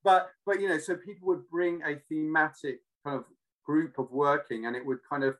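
A man from Cardiff with a British but not Welsh accent, talking casually and reflectively, often pausing to search for words. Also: fairly high pitch, steady tone, a bit bright, low-quality recording. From Cardiff, Wales. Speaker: interview guest.

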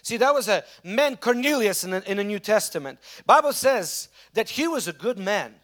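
A man with a Russian accent preaching a sermon as a pastor, telling stories with lively restarts. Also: sits high, normal speed. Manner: animated storytelling preacher with frequent restarts.

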